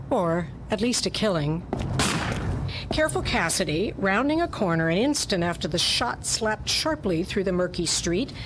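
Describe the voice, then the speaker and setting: Woman, medium pitch, theater presenter and narrator, radio studio, slight background noise. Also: rough recording. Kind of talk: casual radio interview and story narration.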